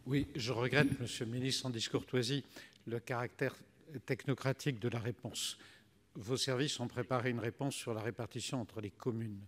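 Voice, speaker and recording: A middle-aged man addresses a parliamentary chamber, his voice ringing in a long echo. He speaks formally at a medium pitch, building his argument in measured, slightly halting phrases. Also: fairly even intonation. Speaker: senator asking a question. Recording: echoey reverberant chamber.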